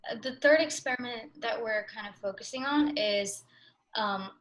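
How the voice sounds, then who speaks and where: A woman's voice: a little high, moderate pace, a little hiss or hum, low-quality recording. A young woman in her twenties, a research fellow, answering interview questions in a chatty way, often saying "like" and "um". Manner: chatty, with frequent "like" and "um".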